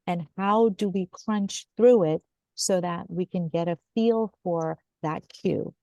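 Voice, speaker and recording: A woman's voice: fairly low pitch, slow, mellow, no echo, lo-fi sound. A woman hosting a webinar discussion, thinking aloud and putting questions to her guests over a video call. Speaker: host.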